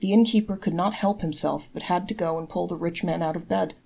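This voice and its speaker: A woman narrates traditional tales, reading from a text. Her voice sits a little low for a woman, and the delivery is that of a storyteller reading aloud. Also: fairly even intonation, brisk, vocal fry, darkish tone, dull audio, a little hiss or hum. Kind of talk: scripted folktale narration, read aloud.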